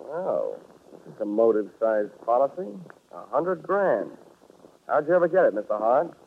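An American actor playing a wisecracking, sardonic hard-boiled insurance investigator in a 1940s radio drama. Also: male voice, mid-range voice, big pitch swings, normal speed, vocal fry, a little hiss or hum. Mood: sardonic, wary. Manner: dry, wisecracking. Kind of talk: 1940s radio drama acting, narrating and dialogue. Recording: tinny band-limited old radio broadcast.

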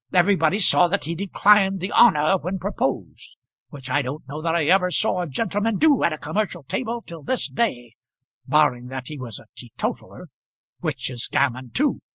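A man reading the part of a pompous, blustering male commercial traveller who presides over the table, loud and hectoring. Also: on the high side, animated, moderate pace, slightly rough, dry recording, heavily acted. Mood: scornful.

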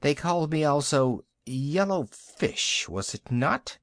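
A man voicing a huge, proud, scornful rock python in a storybook, with haughty, deliberate, archaic speech. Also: on the high side, animated, fairly bright tone, dry recording, studio quality, heavily acted. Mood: proud, offended.

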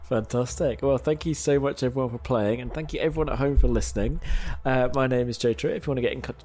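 A man's voice: medium pitch, fast talker, a little mellow. A man hosting a tabletop game podcast, upbeat as he reads thanks and plugs to close the episode. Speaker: podcast host and player. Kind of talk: casual outro chat and sign-off.

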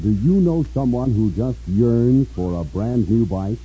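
A man giving a folksy 1950s radio advertising pitch, addressing listeners directly. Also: animated, measured pace, dark tone, faint music behind. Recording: dull, muffled old radio recording.

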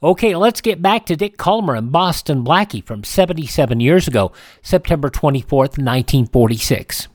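A man's voice: fairly high pitch, expressive, normal speed, no room sound, clean studio sound. A man hosting a radio show, giving a casual, ad-libbed sponsor read in a folksy way.

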